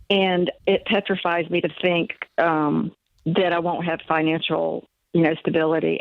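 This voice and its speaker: A woman's voice: lowish voice, no echo. A woman in her sixties calls in over a thin, tinny phone line. She talks hesitantly, stopping and restarting with plenty of fillers. The sound is dry and close. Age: 60s. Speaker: caller. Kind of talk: phone call to a radio advice show.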